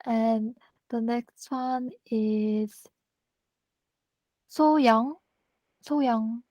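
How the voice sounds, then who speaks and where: A woman's voice: on the high side, dark tone, dry recording. A woman introducing herself shyly in an online chat. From South Korea. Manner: shy, slow, laughing. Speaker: participant. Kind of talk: casual online group chat.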